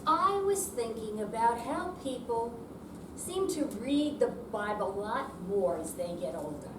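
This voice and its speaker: A woman's voice: fairly high pitch, animated, a little slow. An older woman giving a dramatic amateur reading of humorous verse at a live readers theater performance. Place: indoor senior center room, live performance. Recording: roomy, distant mic, noisy hall.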